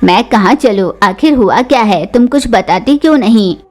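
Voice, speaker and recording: A woman's voice: mid-range voice, animated, normal speed, natural voice. A woman voicing an accommodating, curious female crow shopkeeper with a puzzled, questioning manner in a cartoon story.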